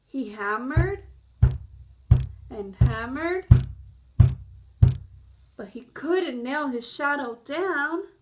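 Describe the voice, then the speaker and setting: A woman's voice: high voice, animated, very slow, pauses often, a little hiss or hum. A woman reading a picture book aloud to young children, stopping to explain and ask questions. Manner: explanatory, asks listeners questions. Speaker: children's storybook reader.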